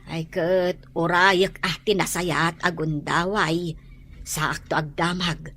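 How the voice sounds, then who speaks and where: A woman playing a worried, gentle mother in a radio drama, hesitant and fretting. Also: lowish voice, little pitch movement, slow, a little hiss or hum. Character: worried, gentle mother talking with her husband.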